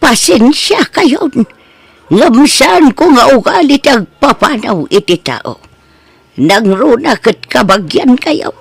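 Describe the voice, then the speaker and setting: Stern old ilocano lola in a radio drama, high creaky voice, slow and scolding, music behind. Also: female voice, expressive, no room sound, exaggerated voice. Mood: firm, reproachful. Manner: slow, emphatic, reproachful. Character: stern old woman, wronged grandmother's sibling, resolute. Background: background music.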